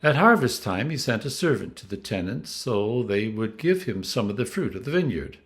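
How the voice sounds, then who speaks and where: A man reading scripture as a clergyman, with a measured, dramatic storytelling delivery. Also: lowish voice, big pitch swings, fairly bright tone.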